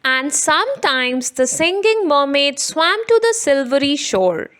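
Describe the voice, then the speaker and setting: A woman's voice: high voice, very animated, a little slow, fairly bright tone. A woman reading a rhyming picture book aloud for children. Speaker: children's story reader.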